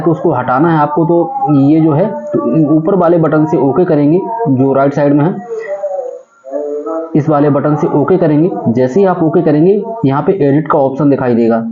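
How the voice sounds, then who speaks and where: A man giving a step-by-step tutorial in an explanatory way, repeating his instructions. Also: a little high. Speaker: tech tutorial presenter. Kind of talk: step-by-step phone settings tutorial. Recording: muffled phone-like audio, background chatter.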